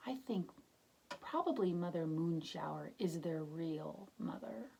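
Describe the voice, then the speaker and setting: A woman's voice: low-pitched, unhurried, gravelly, a little hiss or hum. Woman reading expressively to young children. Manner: expressive, explains words and pictures, asks gentle questions. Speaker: children's storybook reader. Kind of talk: read-aloud narration with simple asides to young children.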